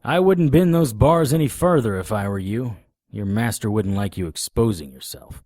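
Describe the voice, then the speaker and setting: An actor playing a wisecracking, world-weary occult investigator, narrating and trading lines in a noir-style audio drama. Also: male voice, on the low side, moderate pace, darkish tone, no room sound, studio quality, lightly acted. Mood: mocking. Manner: sardonic, flippant.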